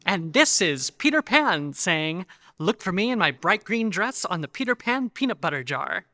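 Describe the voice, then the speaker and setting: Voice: medium pitch, sing-song, normal speed, pushed and bright, dry recording, studio quality. An actor playing a cheery, boyish storybook mascot in a 1940s radio advertisement. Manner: cheery, salesy. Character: chirpy, boyish storybook mascot selling a product.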